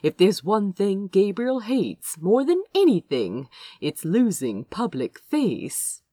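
A woman's voice: mid-range voice, very animated, slow pace, mellow, no echo. A woman voicing a fussy, well-mannered prince who talks in a prim, nervous, wordy rush, as in an audiobook. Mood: excited, smug.

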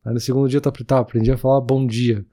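Guy on a podcast, talks quick, warm darkish voice. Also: medium pitch. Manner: relaxed, full of "assim" and "cara" fillers. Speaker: podcast co-host. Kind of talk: casual podcast chat.

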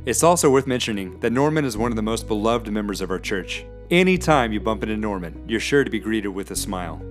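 A man's voice: lowish voice, expressive, quick pace, soft background music. A man reading a scripted introduction for a church podcast. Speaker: podcast announcer.

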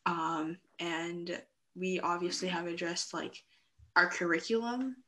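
A woman's voice: fairly low pitch, slow, pushed and bright. A young woman speaking casually as part of a student group discussion.

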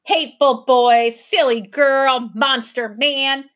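A woman voicing a mob of spiteful swamp creatures that taunt and bawl loudly, reading a storybook aloud. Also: very high-pitched, expressive, takes it slowly, pitched above the performer's own voice, heavily acted. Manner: taunting, bawling, whiny.

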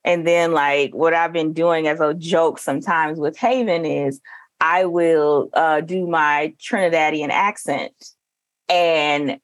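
A woman's voice: sits low, slow pace, no echo. A woman chatting casually, telling family anecdotes with laughs. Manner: warm-humored, laughing, storytelling. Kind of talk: casual chat.

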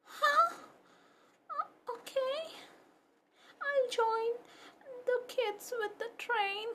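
A woman voicing a sweet, excitable and whiny little boy puppy in a children's storybook, with a childlike, eager manner. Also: extremely high pitch, drawn-out words, a little hiss or hum, pitched above the performer's own voice, exaggerated voice. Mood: hesitant. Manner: childlike, eager, tearful when upset. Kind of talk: children's storybook read-aloud.

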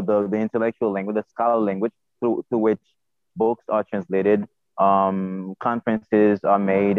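Over a narrow, phone-like connection with no room sound, a man with a Haitian accent answers interview questions. He is a native Haitian Creole speaker with a slightly low voice. He talks hesitantly, repeating words while he searches for the next one. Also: no room sound. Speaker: podcast guest, self-taught polyglot. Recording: tinny phone-like line.

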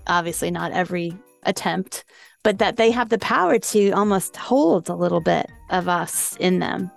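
A woman's voice: on the low side, vocal fry. Woman hosting a podcast over music. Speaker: podcast host. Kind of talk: podcast narration introducing interview clips.

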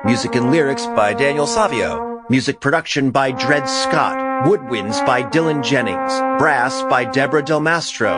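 A male announcer reads scripted credits for a radio drama. His medium-pitched voice is animated, riding over loud brass music.

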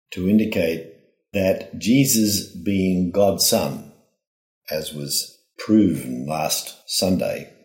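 Deep-voiced man, slow measured homily. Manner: deliberate, phrase-by-phrase delivery, formal. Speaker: priest giving a reflection. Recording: roomy, echoing sound.

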